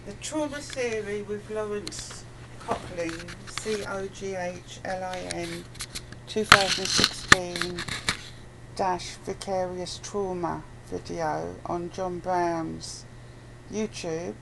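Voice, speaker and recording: A woman's voice: lowish voice, steady tone, takes it slowly, creaky. A British woman chatting casually and bluntly as an interviewee, with some swearing. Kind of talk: casual conversation. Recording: noisy amateur recording with background noise.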